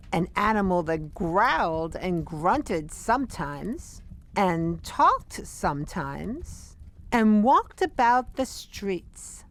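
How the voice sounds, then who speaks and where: Woman as storybook narrator, medium pitch, lively intonation, unhurried, deliberate, audio drama. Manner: measured, deliberate. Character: measured storyteller narrating a Christmas tale.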